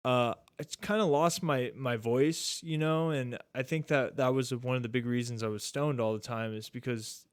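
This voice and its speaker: A relaxed man in his thirties hosts a podcast, rambling easily and leaning on "like" and "dude" between thoughts. His voice is smooth and resonant at a middle pitch. The sound is close and dry, with no room around it. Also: normal speed, hardly any pauses, no echo. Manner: laid-back, rambling, says "dude" and "like" a lot. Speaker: podcast host. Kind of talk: casual chat.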